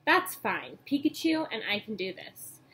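Woman acting out determined young boy, storybook hero, exclamatory, high voice, slight noise. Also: very animated, unhurried, a bit bright. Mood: eager. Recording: noisy home webcam recording.

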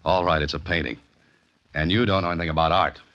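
An actor playing a cool, wisecracking amateur sleuth in a 1940s radio mystery, with a deadpan, clipped delivery. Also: male voice, rumbling low, fast. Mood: wry, brisk. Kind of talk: 1940s radio mystery acting, first-person narration. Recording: muffled old radio recording with music.

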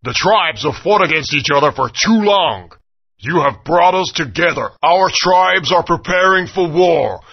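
Gravelly dinosaur chieftain. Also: male voice, on the high side, lively intonation, a little slow. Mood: proud. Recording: dull lo-fi game audio.

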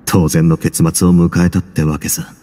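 A man playing a composed, hardened young man with a grim past in a game, speaking in a measured, matter-of-fact way. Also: sits low, flat delivery, warm tone, slight background noise, lightly acted. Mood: grim, restrained. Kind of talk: dramatic game dialogue, recounting his past.

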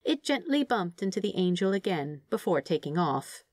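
A woman reading fan fiction aloud as an expressive, scripted story narration. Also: fairly low pitch, normal speed, no echo, studio quality.

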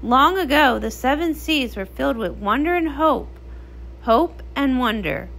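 A woman's voice: sits high, lively intonation, unhurried, gravelly, fairly bright tone. A woman reading as the narrator of a comic read-along, in a dramatic storybook style.

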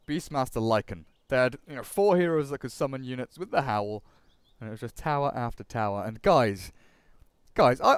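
A man's voice: mid-range voice, fairly bright tone, no room sound, studio quality. A young man from England commentating a game draft in a chatty way, often repeating words. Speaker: esports commentator.

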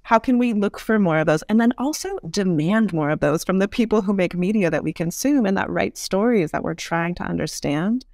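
Woman with creaky voice explaining ideas. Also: medium pitch, animated, a little fast, hardly any pauses, darkish tone, no room sound, studio quality. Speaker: podcast guest, futurist author. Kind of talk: thoughtful interview conversation.

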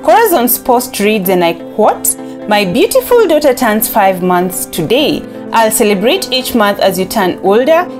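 A woman with a Kenyan accent presenting entertainment news as a scripted voice-over, upbeat, reading posts aloud. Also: fairly high pitch. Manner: upbeat, reads quotes aloud. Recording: voice-over with loud background music.

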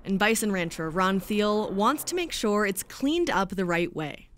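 Woman news reporter, scripted voice-over, measured and even. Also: medium pitch, a little hiss or hum.

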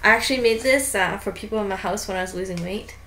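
A woman's voice: medium pitch, fast talker, sharp tone, slight background noise. A young woman in her twenties casually talking through a baking recipe in her home kitchen, with lots of fillers and restarts. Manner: chatty, with frequent fillers and restarts.

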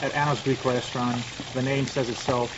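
A man in his thirties talks up his restaurant in a TV studio, earnest and promotional, his grammar a little loose. The sound is dull and muffled, with a clear hiss running under it. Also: medium pitch, fairly quick. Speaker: restaurant owner. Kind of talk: TV interview about his restaurant. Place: TV studio set. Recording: muffled broadcast audio with steady hiss.

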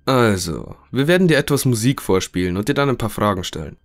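A man's voice: medium pitch, animated, brisk, faint music behind, natural voice. A man playing a polite research scientist who interviews test subjects in a scripted audio drama. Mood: calm, inquiring.

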